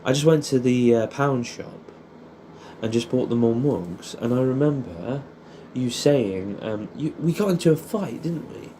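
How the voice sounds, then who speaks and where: A man's voice: medium pitch, measured pace, dark tone, a little hiss or hum. A young English man talks casually and intimately to an old friend, rambling nostalgically, swearing freely and tacking questions onto his statements. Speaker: audio creator.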